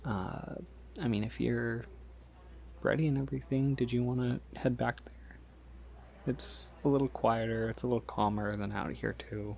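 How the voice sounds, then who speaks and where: A man playing a polite, shy vampire in an ASMR roleplay, speaking softly and haltingly in a gentle, reassuring way. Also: medium pitch, fairly even intonation, gravelly, lightly acted. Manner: hesitant, halting, gentle, reassuring, with small nervous chuckles. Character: polite, shy, considerate male vampire on a first feeding date.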